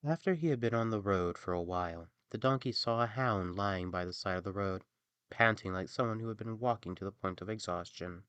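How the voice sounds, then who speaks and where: A man narrating a fairy tale as an expressive storyteller. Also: lowish voice, fairly quick, clear, resonant tone, dry recording.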